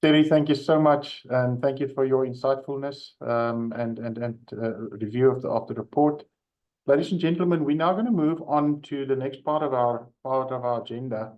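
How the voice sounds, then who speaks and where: A man's voice: medium pitch, moderate pace. A man chairing a formal event as moderator, handing over to speakers in a stumbling way with many fillers. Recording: slightly dull lo-fi sound.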